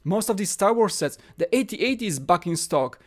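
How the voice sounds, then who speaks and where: A man's voice: high voice, animated, fairly quick. A man in his thirties, a native Polish speaker, hosting a casual livestream in a rambling way, often repeating phrases. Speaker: livestream host. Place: small home studio room. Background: background music.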